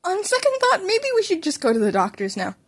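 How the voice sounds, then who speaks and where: A woman's voice: very high voice, wildly swooping pitch, brisk, voice pushed up, heavily acted. A woman reading the part of an anxious, doting mother who fusses and frets over her young son in an audio story. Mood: worried. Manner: fretful, fussing, motherly.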